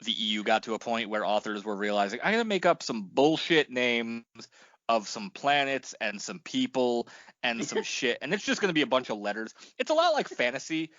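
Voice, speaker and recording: A man's voice: medium pitch, very animated, brisk, fairly bright tone, dry recording, lo-fi sound. A man co-hosting a podcast, bantering casually in an irreverent, theatrical, sweary way. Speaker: podcast co-host.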